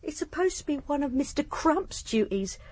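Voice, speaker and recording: A nervous young servant girl in a radio play. Her English voice is high and closely recorded, without room sound. She is deferential, anxious and quick to defend herself. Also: lively intonation, a little mellow, dry recording. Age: young adult. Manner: anxious, protesting, respectful. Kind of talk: radio drama dialogue.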